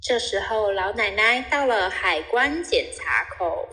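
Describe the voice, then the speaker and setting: A woman's voice: medium pitch, expressive, measured pace, long reverb tail, noisy background, natural voice. A woman giving scripted narration for a comedy audio drama. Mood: narrating. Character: female storyteller narrating the comedy.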